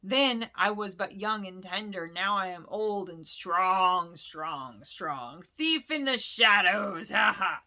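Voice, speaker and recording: Old wily storybook dragon, male, booming and gloating, bright edge, read by a woman. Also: expressive, takes it slowly, hardly any pauses, full character voice. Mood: boasting. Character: huge ancient boastful male dragon, wicked and wily.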